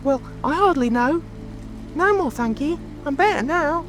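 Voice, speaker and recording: A very high, squeaky little male lizard from a storybook, feeble and flustered. Soft music and rain sit behind the voice. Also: animated, measured pace. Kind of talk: dramatic audiobook character acting.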